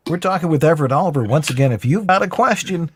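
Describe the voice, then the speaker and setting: A man's voice: a little high, lively intonation, fast, a little hiss or hum. A man co-hosting a live online interview show, with breezy broadcast-style patter. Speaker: podcast co-host. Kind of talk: casual livestream interview.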